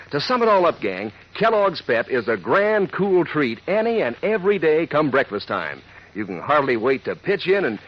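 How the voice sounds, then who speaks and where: A man as a 1940s radio announcer delivering a folksy, enthusiastic advertisement. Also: mid-range voice. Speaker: announcer reading a sponsor ad. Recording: dull vintage radio recording.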